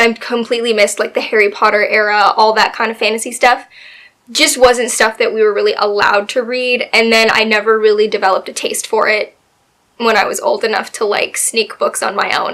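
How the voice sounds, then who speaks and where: A woman getting over a cold, her voice a little raspy, chatting casually and gushing about books she loved, with lots of fillers. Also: on the high side, a bit bright. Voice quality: slightly raspy. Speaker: book video host. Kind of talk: casual book review chat.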